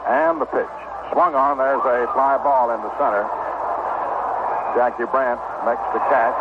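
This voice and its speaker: A man's voice: mid-range voice, narrow, tinny audio, heavy background noise. A man announcing on baseball radio in a 1960s play-by-play commentary style, on a vintage broadcast. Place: stadium broadcast booth.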